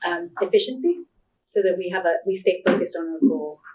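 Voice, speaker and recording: A woman's voice: on the low side, lively intonation, a little fast, a little mellow. A woman at a committee meeting sharing her group's suggestion in a friendly, thinking-aloud way. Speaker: meeting participant. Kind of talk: speaking up in a group discussion. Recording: voice from far off in a room.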